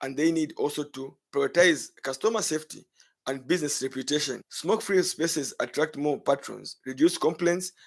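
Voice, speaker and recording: Higher-pitched Zambian male spokesman. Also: moderate pace, gravelly. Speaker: health association coordinator. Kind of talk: prepared public statement.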